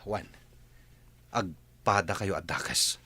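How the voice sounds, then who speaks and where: A man's voice: low-pitched, lively intonation, takes it slowly, pushed and bright, husky, no echo, exaggerated voice. A man with an Ilocano accent playing a stern, wronged man confronting his rival in a radio drama. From Philippines.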